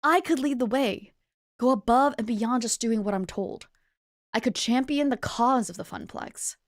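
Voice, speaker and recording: Girl streamer doing plucky arcade worker heroine, high voice, big dramatic speeches. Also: normal speed, dry recording, clean studio sound, natural voice. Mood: determined. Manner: theatrical, declamatory. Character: earnest, dramatic young woman arcade floor attendant, ambitious heroine.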